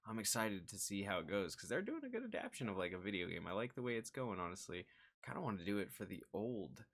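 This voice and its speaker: Low-voiced young man reacting casually. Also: big pitch swings, fast talker, fairly bright tone. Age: young adult. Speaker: reaction video host. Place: small room indoors.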